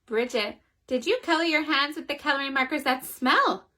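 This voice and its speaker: A woman voicing a frantic, fussy mother in a children's story, with panicked, shrill cries. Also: normal speed, bright, forceful tone. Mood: scolding.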